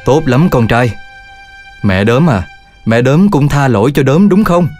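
A man voicing a calm, kindly father dog in a children's cartoon, patient and gently instructive. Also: mid-range voice, a little slow, close to an everyday voice. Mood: approving. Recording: loud music behind the voice.

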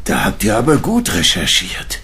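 An actor playing an elderly, ill coin dealer in a radio play, talking tiredly. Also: male voice, on the low side, wildly swooping pitch, normal speed, pushed and bright, breathy edge, heavily acted. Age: older.

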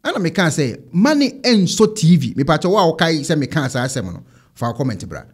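A man's voice: mid-range voice, sing-song, fast talker, breathy edge. A Ghanaian man in his thirties hosting a casual online reaction show, speaking into a close studio microphone. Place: indoor studio setup. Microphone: close desk microphone.

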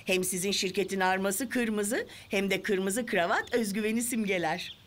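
A woman voicing a cheerful, proud mother hen in a children's cartoon, expressive and theatrical. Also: medium pitch, moderate pace, a little hiss or hum, natural voice. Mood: cheerful, dreamy.

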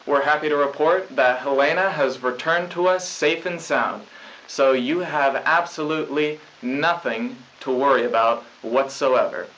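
A man's voice: mid-range voice, unhurried, a bit bright. Young man anchoring on dull hissy tape. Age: young adult. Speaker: student news anchor. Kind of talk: scripted school news broadcast. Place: school studio desk.

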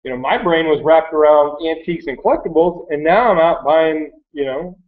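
A man's voice: on the high side, moderate pace. A man in his thirties with an American accent, telling his story in a rambling, casual, conversational way. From Michigan, United States. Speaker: online reseller sharing his story. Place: small cluttered workroom indoors. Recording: thin phone-like webcam audio.

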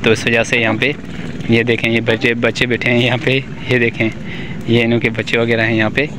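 A man's voice: mid-range voice, level tone, a little fast, background noise. Young male vlogger, phone camera, village walkabout, keeps repeating himself. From Pakistan. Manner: repetitive, pleading, keeps calling out "guys" and "friends". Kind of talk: walking tour vlog with interviews. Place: outdoors in a village among huts. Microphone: handheld phone camera.

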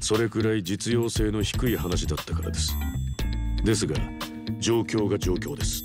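An actor playing a cool, calculating executive fixer in a video game, speaking with stern, measured politeness. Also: male voice, lowish voice, over music, close to an everyday voice. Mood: reluctant, measured. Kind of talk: Japanese video game cutscene acting.

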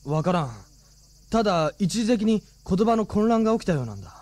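Sensible schoolboy lead in a radio play, highish and expressive. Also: male voice, a little fast, no echo. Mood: puzzled. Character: level-headed, reassuring teenage schoolboy hero. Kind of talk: radio drama acting.

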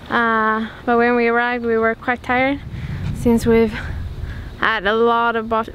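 A woman's voice: fairly high pitch, slight background noise. A woman in her thirties, a Swedish speaker talking English, chatting casually to a camera she holds at arm's length outdoors by a harbour. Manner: relaxed and chatty, with fillers and restarts. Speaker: sailing vlogger. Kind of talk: casual vlog chat to camera. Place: outdoors by a harbour on a tropical island. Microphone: camera held at arm's length. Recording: music playing under the voice.

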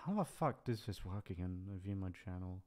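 A man's voice: low-pitched, animated, normal speed, a little mellow. A man talking casually without a script, rambling and hesitant in a self-deprecating way, thinking aloud. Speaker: audio channel creator, voice actor. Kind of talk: unscripted casual farewell vlog.

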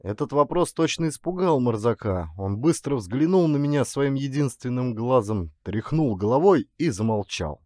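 A man reads a literary tale like a stage actor. His delivery is expressive, with an animated, middling-pitched voice that rises and falls a lot. The recording is dry and close. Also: moderate pace, hardly any pauses, no echo. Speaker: audiobook narrator and actor. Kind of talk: expressive literary story narration.

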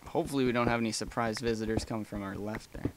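A man's voice: lowish voice, fairly even intonation, racing, very noisy. A young man casually chatting and rambling through a let's play, with frequent ums and small laughs. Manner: rambling and chatty, many ums, gasps and laughs while playing. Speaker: gaming channel host.

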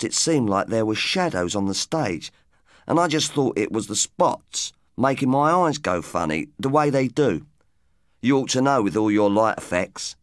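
A man's voice: mid-range voice, no room sound, clean studio sound. A man voicing an earnest, wistful, washed-up rock musician in his late twenties, as audiobook dialogue.

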